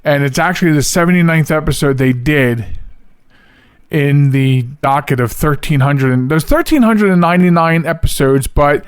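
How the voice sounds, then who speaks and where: A man's voice: a little high, moderate pace, clear, resonant tone, no room sound, clean studio sound. A man hosting a podcast, talking casually and rambling a little as he introduces the show. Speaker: podcast host.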